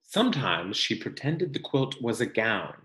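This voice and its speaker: A man reading a picture book aloud like a teacher to children, expressive and deliberate, pausing for emphasis and guiding questions. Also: medium pitch. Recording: echoey room.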